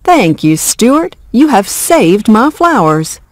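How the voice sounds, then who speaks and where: A woman voicing a kindly female flower seller in a game, gracious, gentle and friendly. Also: fairly high pitch, big pitch swings, takes it slowly, no room sound. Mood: kindly, grateful. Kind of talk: scripted game cutscene dialogue.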